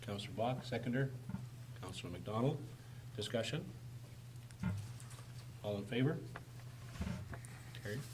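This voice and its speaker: A man with a hoarse, raspy voice chairing a formal council meeting, calling motions briskly in a council chamber. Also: medium pitch, animated. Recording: noisy room recording.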